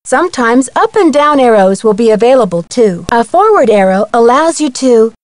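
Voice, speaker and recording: A woman's voice: a little high, big pitch swings, moderate pace, darkish tone, no echo. A woman playing a young, confident amateur detective, giving friendly scripted tutorial instructions in a video game. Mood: chipper, instructive. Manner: friendly, clear instructional delivery.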